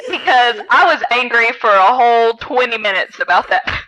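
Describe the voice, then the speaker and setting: A woman co-hosting a podcast in casual pop-culture banter, animated and quick with playful quips. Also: on the high side, low-quality recording. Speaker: podcast co-host.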